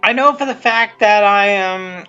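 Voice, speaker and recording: Woman doing casual commentary, bright voice, keeps restarting sentences, music in the background. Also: medium pitch, clear, resonant tone. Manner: rambling, halting, repeats and restarts sentences often. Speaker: creator of a fan abridged series giving commentary. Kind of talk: casual unscripted video commentary. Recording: dull lo-fi sound with music underneath. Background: music from the video being commented on.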